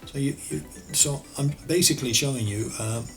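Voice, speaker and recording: A man with an Irish accent teaching architectural CAD over a screen recording, relaxed and thinking aloud as he works, often correcting himself. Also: mid-range voice, normal speed, vocal fry, soft background music. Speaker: architectural designer and CAD tutor. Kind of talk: screen-recorded software tutorial.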